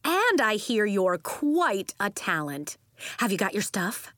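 A woman's voice: mid-range voice, big pitch swings, slow, a bit bright, no room sound, clean studio sound. A woman voicing a brash, outspoken, vibrant aunt in a story, with a blunt, breezy, exclamatory way of talking. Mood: brisk, warm.

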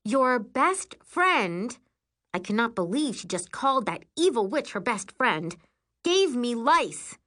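A woman's voice: a little high, very animated, normal speed, a bit bright, voice pushed up. A woman voicing a scornful teenage older sister in an audiobook, indignant and dramatic.

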